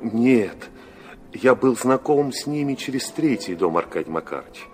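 An actor playing a courteous, stiff prince with a military bearing, formal and measured, in a Russian radio play. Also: male voice, medium pitch, lively intonation, a little mellow, natural voice. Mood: formal courtesy.

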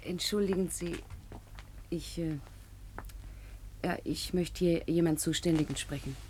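A woman's voice: lowish voice, steady tone, measured pace, darkish tone, a little hiss or hum, close to an everyday voice. A young woman playing a naive, plucky poor girl in a radio play, speaking colloquially and earnestly. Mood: hesitant, earnest. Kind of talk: naturalistic radio drama dialogue.

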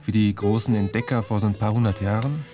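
Ironic radio host, lowish male voice. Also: fairly even intonation, fast talker, warm tone, soft background music. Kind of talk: loose, ironic magazine-show moderation.

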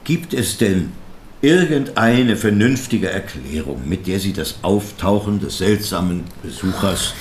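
A man's voice: low voice, animated, unhurried, a little hiss or hum. A man playing a patient, sceptical older investigator near retirement, asking probing questions in a naturalistic radio drama. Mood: apologetic, weary.

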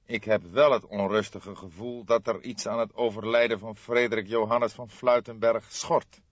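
A man voicing a grey-haired veteran detective in an audiobook, thoughtful and measured, with a dryly teasing manner. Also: fairly low pitch, steady tone, dry recording, dull audio, natural voice. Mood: pensive. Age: older. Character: grey-haired veteran male detective, deliberate, wryly jovial. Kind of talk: audiobook character acting.

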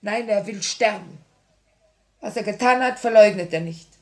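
An actress playing a grieving but resolute young revolutionary in a 1950s German radio play, solemn and theatrical. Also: female voice, mid-range voice, moderate pace, close to an everyday voice. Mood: grave, resolute. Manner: theatrical, solemn, impassioned delivery. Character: grieving, resolute young woman revolutionary, torn between love and duty.

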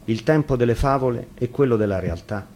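A man's voice: medium pitch, fairly quick, darkish tone. A man reads a fairy tale aloud as a storyteller, in scripted narration. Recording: faint noise, live radio broadcast.

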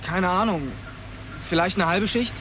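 A man's voice: sits high, a little slow. A man playing an uneasy teenage boy in radio play dialogue. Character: uneasy teenage boy working a store job. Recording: noisy outdoor car scene.

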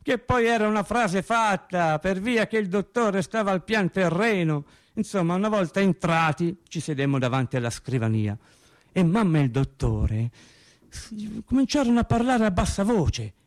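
A male actor playing a wry storyteller recalling boyhood with childlike logic, in an expressive, conversational theatrical monologue. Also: a little high, moderate pace, natural voice. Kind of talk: theatrical first-person radio monologue.

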